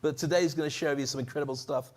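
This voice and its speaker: A man's voice: fairly high pitch, racing. Upbeat male conference host. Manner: jokey, animated, audience-rousing. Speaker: event host and speaking coach. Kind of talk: energetic stage presenting. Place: conference stage to a live audience. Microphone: handheld stage microphone.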